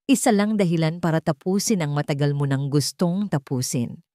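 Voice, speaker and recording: A woman's voice: deep voice, normal speed, mellow, no echo, studio quality, natural voice. A woman voicing a wounded, furious young daughter in a dramatic audio story, bitter and accusing. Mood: hurt, bitter. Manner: bitter, accusing, trembling with anger and grief.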